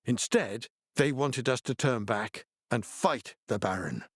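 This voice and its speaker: An actor playing a laid-back, earnest middle-aged hippie healer in a video game, explaining things in an easygoing way. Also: male voice, mid-range voice, unhurried, no echo, studio quality, lightly acted. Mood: somber. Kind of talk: video game character dialogue.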